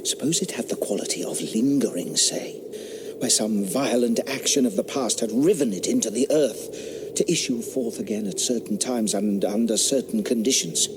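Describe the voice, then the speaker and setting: An actor playing a curious eighteenth-century squire who tests for ghosts, earnest and eager, dropping to a hush when he explains his theories. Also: medium pitch, normal speed, noisy background, natural voice. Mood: hushed, intent. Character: curious 18th-century country squire experimenter. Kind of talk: radio drama acting. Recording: audio drama with woodland ambience.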